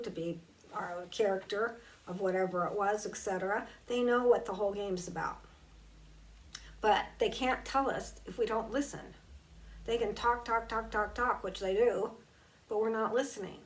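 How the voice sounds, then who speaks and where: A woman gives a reflective spiritual talk and leans on key words at an unhurried pace. Her voice sits a little away from the microphone. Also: mid-range voice, distant mic, poor audio. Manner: slow, emphatic and conversational, drawing out key words. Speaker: spiritual teacher.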